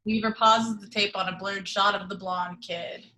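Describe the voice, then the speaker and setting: A woman reads stage directions at a table read in a mid-pitched voice. Her delivery is even and measured. She is heard over a video call. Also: poor audio. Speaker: narrator reading stage directions. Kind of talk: reading action description.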